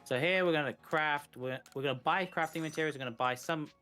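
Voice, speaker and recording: A man's voice: a little high, quick pace, pushed and bright, clear, resonant tone, faint music behind. A man giving casual live commentary on a video game run, explaining it in a wry, tongue-in-cheek way. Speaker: speedrun co-commentator.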